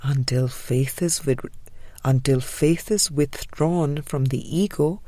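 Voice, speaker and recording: A woman reading a spiritual audiobook in a breathy voice that trails into whispery phrase endings, with a measured, gentle, meditative delivery. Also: a bass voice. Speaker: audiobook reader. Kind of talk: scripted spiritual audiobook narration.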